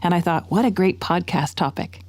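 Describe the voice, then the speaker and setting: A woman's voice: fairly low pitch, brisk, darkish tone, no echo. A woman hosting a church podcast, asking questions and recounting how the episode came about in a friendly, interested way. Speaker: podcast host. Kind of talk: hosting casual chat.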